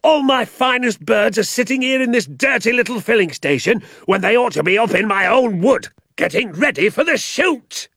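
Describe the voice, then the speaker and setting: A man voicing a blustering, pompous rich landowner who shouts and bullies, in a storybook reading. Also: deep voice, a little slow, breathy edge, no room sound, clean studio sound, heavily acted. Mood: indignant. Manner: shouting, indignant.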